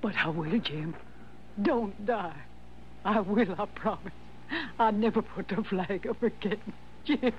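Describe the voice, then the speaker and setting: A woman's voice: medium pitch, close to an everyday voice. A woman acting a proud, mournful older mother in a 1950s radio western. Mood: pleading, heartbroken. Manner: slow, mournful. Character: grieving, proud old Southern mother. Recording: dull, noisy old radio recording.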